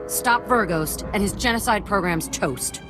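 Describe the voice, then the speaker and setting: A woman's voice: medium pitch, a little slow, close to an everyday voice. A woman playing a tough young Republic agent in a video game, brash, blunt and urgent. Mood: brisk, urgent. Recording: music behind the voice.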